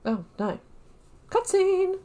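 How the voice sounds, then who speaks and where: A young woman hosting a casual let's-play, chatting excitably and talking to herself while she plays. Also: extremely high pitch, takes it slowly. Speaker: gaming channel host.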